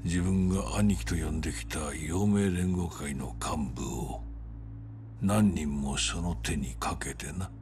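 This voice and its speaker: An actor playing an older, solemn, commanding crime patriarch in a game drama, speaking gravely and deliberately. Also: male voice, low voice, darkish tone, faint music behind. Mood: cold, solemn. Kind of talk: cinematic game drama acting.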